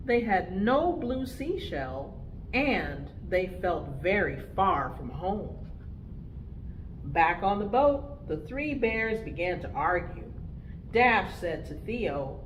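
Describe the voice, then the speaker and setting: A woman reading a picture book aloud to preschoolers, with expressive, deliberate phrasing. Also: fairly low pitch, echoey room, slight background noise, rough recording. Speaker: storytime reader for preschoolers.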